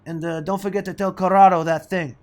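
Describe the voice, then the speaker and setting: A man's voice: high voice, fairly even intonation, fairly quick, a little mellow, natural voice. A man playing a blustering, touchy, food-obsessed mob boss in a comedy audio play. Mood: confiding. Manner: wisecracking, blustering. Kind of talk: comedic audio drama acting.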